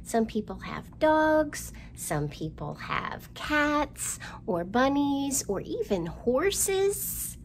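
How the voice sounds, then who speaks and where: A middle-aged woman presents a children's storytime in simple, questioning language. Her pitch moves widely and expressively, and she takes her time. The recording is made indoors on a camera mic, with some noise behind her. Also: big pitch swings, drawn-out words, hardly any pauses. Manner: simple child-directed talk with questions and exaggerated delivery. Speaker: children's librarian, storytime host. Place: indoor room, seen at a storytime backdrop.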